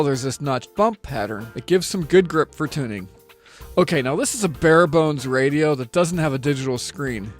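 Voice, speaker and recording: A middle-aged American man narrating a scripted product review in a methodical, explanatory way. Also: fairly high pitch. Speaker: gear reviewer.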